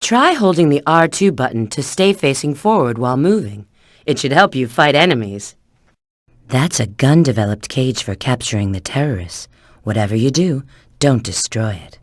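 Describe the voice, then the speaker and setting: A self-assured female field agent from a video game. Her pitch moves around in a lively way as she briefs an ally with clipped, instructive tips. The recording is dry, with no room sound. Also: no echo. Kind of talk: video game tutorial and mission dialogue.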